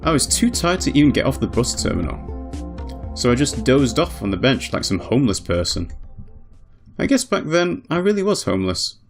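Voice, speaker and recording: A man's voice: medium pitch, sing-song, moderate pace, faint music behind. A man voicing a scrappy, plain-spoken ferryman in an informal, easygoing visual novel dialogue reading. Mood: reflective, casual.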